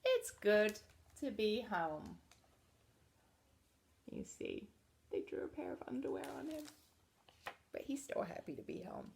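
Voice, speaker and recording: Woman reading aloud to kids: very animated, unhurried, gravelly edge to the voice. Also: on the high side, noisy background. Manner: expressive read-aloud, dropping to soft chatty asides about the pictures. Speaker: storybook reader. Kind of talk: reading a picture book aloud to children.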